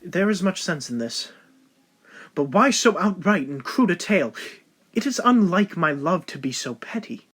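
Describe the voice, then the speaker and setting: Man acting an upright, high-voiced young-sounding lieutenant. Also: animated, normal speed, a bit bright. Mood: doubtful. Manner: earnest, formal, declamatory. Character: upright, honorable, indignant military lieutenant. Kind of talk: stage-play style period audio drama acting.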